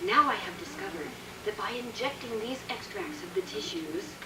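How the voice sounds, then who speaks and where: A woman playing a solemn, fearful doctor in a radio drama, halting, dramatic and intense. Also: fairly high pitch, expressive, close to an everyday voice. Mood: solemn, hesitant. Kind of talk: theatrical radio drama acting. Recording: noisy, echoey, distant radio recording.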